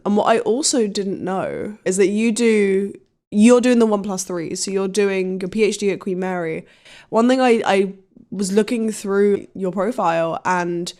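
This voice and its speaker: A woman's voice: medium pitch, a little mellow. A woman hosting a podcast interview, chatty and enthusiastic, with plenty of casual fillers. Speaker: podcast host.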